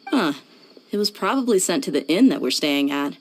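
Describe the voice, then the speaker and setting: A woman's voice: lowish voice, animated, moderate pace. A woman playing a confident, laid-back female mercenary in a video game, casual and matter-of-fact. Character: easygoing, confident adult female mercenary, loyal bodyguard. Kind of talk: video game dialogue acting.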